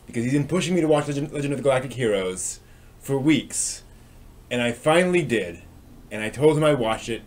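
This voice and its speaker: A man hosting a live stream in a casual, chatty way, with a halting, hesitant delivery that tends to trail off. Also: mid-range voice.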